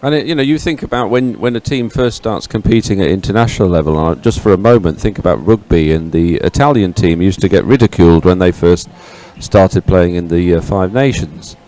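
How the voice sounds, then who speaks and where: A man's voice: sits low, brisk, few pauses, a little mellow. A man anchoring live, unscripted race commentary in a conversational, tentative way. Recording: clear outdoor background noise.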